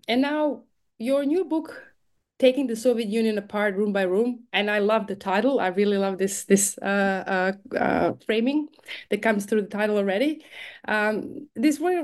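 A woman hosting a podcast interview, friendly and quick to laugh, with some fillers. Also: medium pitch, a little mellow. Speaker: podcast host. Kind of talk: interviewing a guest.

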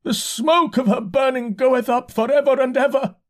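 A man voicing a distraught, half-mad male curate who talks in a slow, complaining, pleading way. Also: very high-pitched, animated, a little mellow, voice pushed up, exaggerated voice. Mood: frantic. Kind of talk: audiobook character voice.

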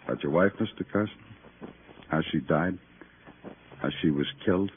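An American actor playing a world-weary big-city police detective, terse and moody, in a 1950s noir radio drama. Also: male voice, deep voice, moderate pace, slight background noise. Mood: probing. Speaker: lead actor and narrator. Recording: tinny old radio broadcast, loud music.

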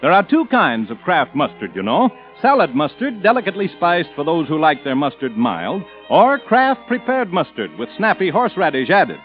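Lively male ad announcer, raised pitch. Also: moderate pace, few pauses, faint music behind. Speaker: commercial announcer. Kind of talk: 1940s radio ad read. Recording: muffled vintage radio recording.

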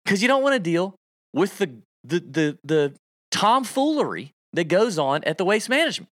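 A man's voice: high voice, moderate pace, no room sound, clean studio sound. Emphatic man talking golf. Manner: animated, emphatic, piles on stats. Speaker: golf betting co-host. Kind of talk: casual livestream chat.

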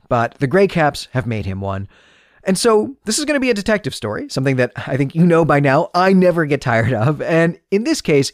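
A man's voice: mid-range voice, no room sound, clean studio sound. A man hosting a podcast, giving book commentary in an explanatory, emphatic way. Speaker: podcast host.

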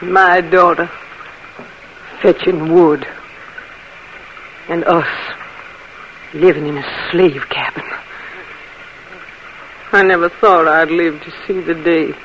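A woman's voice: fairly low pitch, steady tone, slow, pauses often, a little hiss or hum. A woman playing a weary, practical older mother, scolding and tired, in 1940s radio acting. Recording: dull 1940s radio broadcast.

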